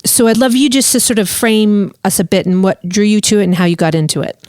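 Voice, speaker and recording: A woman hosting a podcast, chatty and quick to laugh, with a slightly scratchy voice. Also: medium pitch, darkish tone, smooth, no echo, clean studio sound. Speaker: podcast host. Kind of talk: casual podcast interview.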